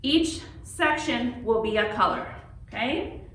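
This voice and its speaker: A woman's voice: a little high, expressive, takes it slowly, fairly bright tone. Woman teaching crafts in a roomy, distant recording. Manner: reassuring, often checks in with "okay". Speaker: craft instructor. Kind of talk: informal step-by-step craft demonstration. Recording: roomy, distant mic with faint noise.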